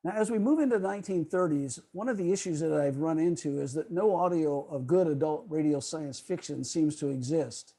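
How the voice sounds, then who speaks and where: A man presenting a prepared history lecture to a club over a video call, explaining in a formal, measured way. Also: on the high side, dark tone.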